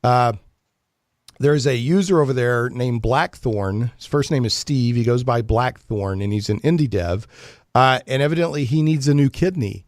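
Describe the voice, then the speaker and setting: A man's voice: medium pitch, moderate pace, no room sound, studio quality. A man hosting a talk show, chatting casually in a rambling, joking, mock-serious way. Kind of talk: casual chatty radio banter.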